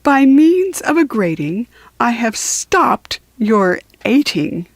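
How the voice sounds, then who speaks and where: A woman's voice: on the high side, big pitch swings, slow pace, dry recording, exaggerated voice. A woman voicing a stubborn, cocky shipwrecked male sailor from a storybook, defiant and declamatory. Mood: triumphant.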